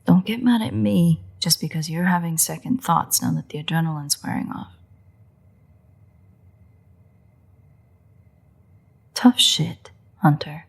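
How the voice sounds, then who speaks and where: A woman playing a playful, sardonic, bloodthirsty but secretly caring vampire in a roleplay audio drama, with wry, husky, often breathy delivery. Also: low-pitched, steady tone, exaggerated voice. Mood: taunting, defiant. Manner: wry, teasing, unhurried delivery with pauses.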